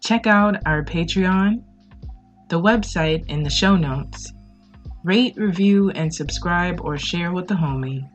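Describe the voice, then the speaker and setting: A woman's voice: on the low side, takes it slowly, highs cut off. A woman hosting a podcast, reading a scripted sign-off. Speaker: podcast host. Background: music.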